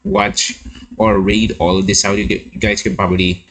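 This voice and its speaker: Animated, husky man reacting casually. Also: lowish voice, normal speed. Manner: excitable, repeats words, interjects while reading. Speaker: host of a reaction video. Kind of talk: casual reaction commentary, reading on-screen text aloud.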